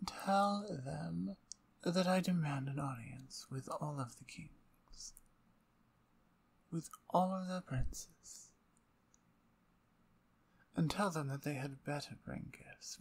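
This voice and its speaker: A woman playing a dominant, playful vampire conqueror in an ASMR roleplay, with a breathy, near-whispered voice and an imperious, taunting manner. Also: sits low, slow pace, long pauses, heavily acted, rough recording. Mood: commanding.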